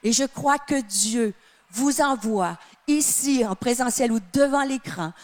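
A woman from Canada with a light Québécois accent delivers a sermon in a high, bright-pitched voice. She leans hard on key phrases and stretches them out, then sinks into softer, hushed lines. She speaks to people in the room and to those watching online. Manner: emphatic, drawn-out phrases with sudden drops to a soft tone. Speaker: preacher. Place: church with live and online audience.

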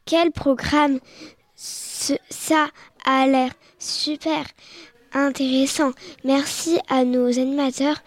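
A young radio co-host reading from a script, careful and measured, then asking questions in turn. Her voice is very high-pitched. It is recorded dry, close to the mic. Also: fairly even intonation, no echo. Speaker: child co-host of a kids' radio show.

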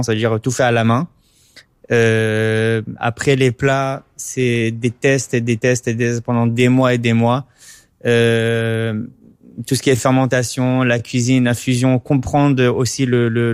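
A man's voice: mid-range voice, clear, resonant tone, dry recording. Male chef, thirties, from Paris; dry close sound, clean voice, hesitant interview delivery. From born in Paris, moved to Israel at twelve. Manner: hesitant, halting, repeats words while searching. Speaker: chef and restaurant owner. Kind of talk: spontaneous interview answers.

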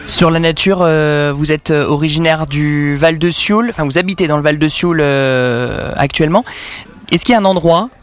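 Man, interviewer, slightly high voice, polite, hesitant. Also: normal speed. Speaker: radio interviewer. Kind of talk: interview questions.

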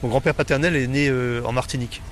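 Middle-aged Parisian man, interviewee, hesitant and relaxed, faint traffic behind. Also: medium pitch, fast. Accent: Parisian French. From Paris, France. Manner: hesitant, many fillers and restarts.

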